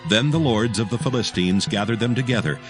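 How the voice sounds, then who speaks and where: A man's voice: fairly low pitch, fairly quick, music in the background. A man narrating scripted scripture as the storyteller of a dramatized Bible audio production.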